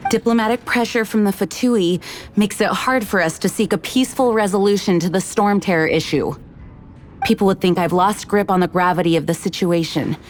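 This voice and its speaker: Earnest female knight commander for a game played by a woman, slightly low voice, formal, articulate, faint ambience. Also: a little hiss or hum, close to an everyday voice. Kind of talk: video game voice acting.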